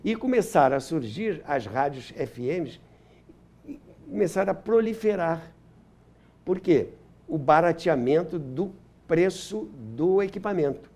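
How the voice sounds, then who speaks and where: An older Brazilian man speaks as an interview guest in an anecdotal, explanatory way. His voice sits somewhat high and is mellow, with animated intonation. He often repeats a phrase to drive it home. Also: a little slow. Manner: explanatory, acts out quotes, repeats phrases for emphasis. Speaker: interview guest, historian and author.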